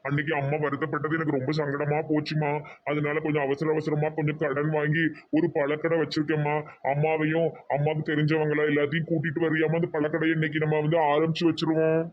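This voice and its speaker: A man playing a kind, principled big-brother figure in an amateur cartoon, earnest and gently advising, with a southern Tamil Nadu accent. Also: fairly high pitch, completely flat delivery, fast, dull audio, close to an everyday voice. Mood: apologetic, earnest.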